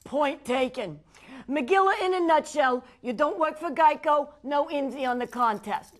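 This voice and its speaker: A woman's voice: sits high, animated, unhurried. A woman playing a sentimental, effusive middle-aged talk show hostess with a New York accent. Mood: gushing. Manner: effusive, melodramatic, Yiddish-sprinkled. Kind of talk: parody talk show hosting.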